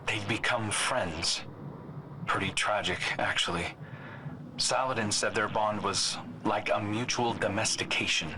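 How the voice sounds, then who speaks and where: An actor playing an earnest, troubled scout in a video game cutscene, thoughtful and wry like a campfire storyteller. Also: male voice, lowish voice, unhurried, noisy background, lightly acted. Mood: intrigued storytelling.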